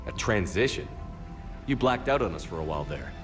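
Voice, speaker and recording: Game hero commander: very low voice, serious, direct and determined, music underneath. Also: male voice, sing-song, brisk. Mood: concerned. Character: steady, determined male military commander, earnest hero. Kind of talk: dramatic video game dialogue.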